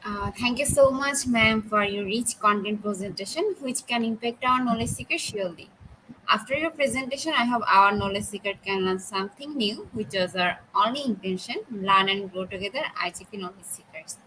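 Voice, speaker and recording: Bright, formal woman webinar host. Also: medium pitch, moderate pace, a little hiss or hum, rough recording. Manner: formal, polite announcements. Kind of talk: hosting a webinar.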